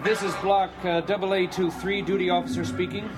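A man's voice: sits high, flat delivery, fairly quick, natural voice. An actor playing a stiff, officious male prison duty officer in a radio drama, speaking curtly. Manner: curt, officious. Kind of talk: 1980s radio drama acting. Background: music score.

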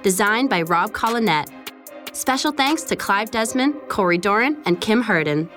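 A woman's voice: medium pitch, lively intonation, normal speed, a bit bright, faint music behind. A woman announcer giving a scripted read in the manner of show credits.

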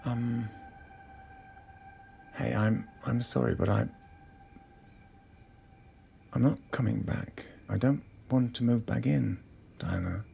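An actor playing a hurt, angry estranged husband in a naturalistic audio drama, halting and stammering as he repeats himself. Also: medium pitch, vocal fry, mellow, faint music behind. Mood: awkward, hesitant.

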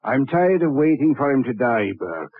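A poor, calculating murderer in a vintage radio drama. He is husky and deliberate, coaxing his way toward dark deeds. The recording is very dry and phone-like. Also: mid-range voice, very animated, no echo. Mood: impatient, scheming. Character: cold-blooded, scheming poor body-seller turned murderer. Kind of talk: old-time radio drama acting.

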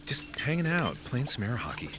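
A man's voice: mid-range voice, big pitch swings, fairly quick, background noise, lightly acted. An actor playing an awkward, nervous teenage boy in an audio drama, hesitant and stammering. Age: teenager as played. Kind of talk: naturalistic audio drama dialogue.

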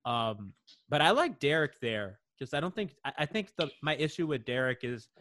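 A man's voice: fairly high pitch, very animated, moderate pace, a bit bright, a little hiss or hum. Easygoing man in sports podcast chat. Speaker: podcast co-host.

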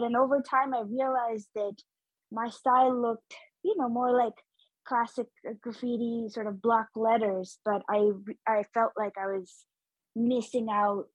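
A young woman from Nepal, an artist being interviewed, speaking thoughtfully with many fillers and lively bursts. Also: on the high side, slightly rough, no echo, lo-fi sound. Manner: thoughtful, frequent fillers, animated when excited. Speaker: muralist guest. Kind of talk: interview conversation.

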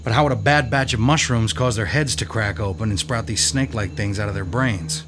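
A man's voice: on the low side, a little fast, noisy background, close to an everyday voice. A man playing a blunt police detective. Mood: questioning. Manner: blunt, questioning. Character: male police detective, skeptical questioner.

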